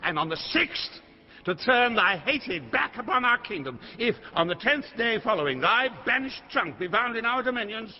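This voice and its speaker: A man's voice: very high-pitched, very animated, normal speed, heavily acted. An actor playing a proud, rash old king who declaims grandly in classical Shakespearean stage style. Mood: furious, commanding. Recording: muffled, long hall reverb.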